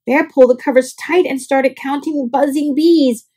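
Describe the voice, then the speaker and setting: A woman reading a children's picture book aloud in an expressive, scripted storytelling style. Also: high-pitched, normal speed. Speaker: storybook reader.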